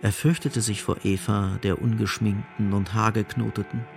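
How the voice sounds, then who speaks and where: An actor as the sardonic narrator of a radio play, delivering literary prose in a grave, emphatic, ironic way. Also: male voice, fairly low pitch, steady tone, normal speed, gravelly, a little mellow, soft background music. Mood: biting.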